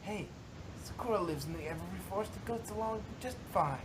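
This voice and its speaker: A woman's voice: animated, a little fast, natural voice. A woman playing a brash, confident young pony filly in a fan fiction reading, casual and cocky. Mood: breezy confidence. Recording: noisy home recording with rumble.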